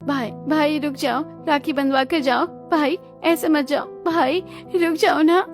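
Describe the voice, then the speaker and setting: A woman's voice: sits high, steady tone, measured pace, faint music behind. A woman voicing a sweet, devoted younger sister in a family cartoon, with an affectionate, pleading manner.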